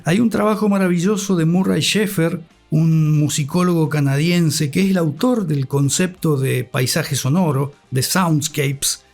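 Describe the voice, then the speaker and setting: An Argentine man speaking thoughtfully as an interview guest and radio scholar, with deliberate delivery and a formal, learned vocabulary. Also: on the high side, gravelly, darkish tone, soft background music.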